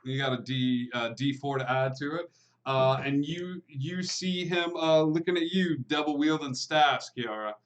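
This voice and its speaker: A man narrates a tabletop game as its game master, pausing often with fillers as he describes the scene. His voice sits at an ordinary middle pitch. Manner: hesitant, many fillers. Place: online live stream.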